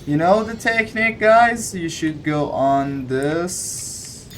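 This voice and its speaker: A man talks slowly in a casual, instructional way. His voice sits a little high. There is faint noise in the background. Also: animated.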